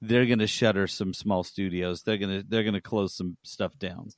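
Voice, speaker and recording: A man's voice: low-pitched, fairly even intonation, normal speed, dry recording, clean studio sound. A man co-hosting a casual gaming podcast with emphatic, wry remarks. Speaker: podcast co-host. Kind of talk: casual gaming news banter.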